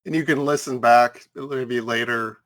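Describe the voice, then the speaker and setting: Mid-pitched man in casual chat. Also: steady tone, measured pace, a bit bright. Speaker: podcast regular.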